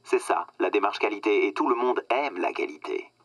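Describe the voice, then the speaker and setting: A man's voice: on the low side, expressive, moderate pace, fairly bright tone, no echo. An actor playing a smug, patronizing corporate marketing man who talks in glib business jargon in a radio satire. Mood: smug, lecturing. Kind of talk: satirical radio comedy dialogue, phone-call scene.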